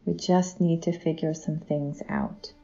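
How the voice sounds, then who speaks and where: A woman's voice: sits low, steady tone, measured pace, vocal fry, mellow, highs cut off. A woman playing a caring but troubled middle-aged wife and mother in an audio drama. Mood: gently reassuring.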